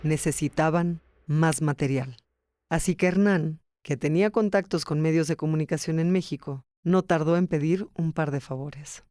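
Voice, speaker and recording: A man's voice: on the high side, moderate pace, a little mellow, no echo, clean studio sound. A man reading scripted documentary narration as the narrator of a podcast.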